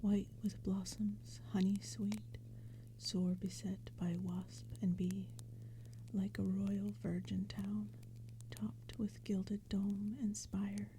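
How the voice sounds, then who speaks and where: Whispering woman, very dark and soft. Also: mid-range voice, little pitch movement. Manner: gentle, measured, hushed delivery. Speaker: narrator and reader. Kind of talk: ASMR poetry audiobook reading. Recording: background crackling fire and forest ambience. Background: crackling fire and forest sounds.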